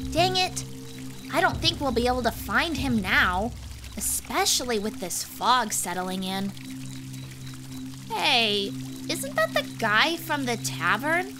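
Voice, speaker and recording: A woman voicing a brash, energetic female sea-dweller warrior in a fantasy audiobook, blunt and excitable, over music. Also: fairly high pitch, slow pace, voice pushed up. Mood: frustrated. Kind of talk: audiobook character voice.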